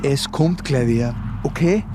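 An actor playing a gruff, impatient, sarcastic conspirator in a radio drama, with an Austrian accent. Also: male voice, medium pitch, takes it slowly, background noise, natural voice. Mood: brusque. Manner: brusque, sarcastic. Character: gruff, impatient man, bossy would-be world-saving conspirator.

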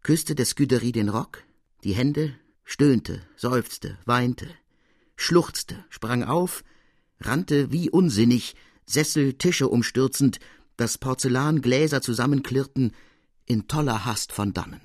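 A man's voice: medium pitch, slow, no room sound, clean studio sound. A man narrating classic literature in a dramatic, scripted storytelling style.

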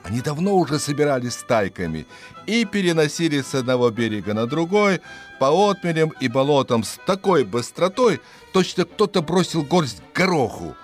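A man narrating an animal tale as a theatrical, expressive storyteller, reading from a script. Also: fairly high pitch, moderate pace, soft background music.

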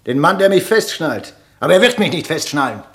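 An actor playing a defiant, half-mad condemned prisoner in a German radio play, volatile between hushed words and outbursts. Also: male voice, a little high, very animated, brisk, echoey room. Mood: desperate, defiant.

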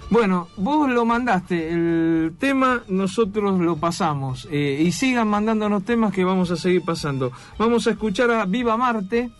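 A man's voice: high-pitched, lively intonation, moderate pace, few pauses, faint music behind. A man hosting a radio show, asking questions with many hesitations and fillers. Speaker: radio host. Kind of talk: radio interview.

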